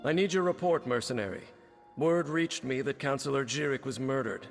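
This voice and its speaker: A man's voice: medium pitch, normal speed, vocal fry, in a large hall, soft background music, close to an everyday voice. A man voicing a stern, businesslike military captain in a video game, formal and commanding. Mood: stern, brisk.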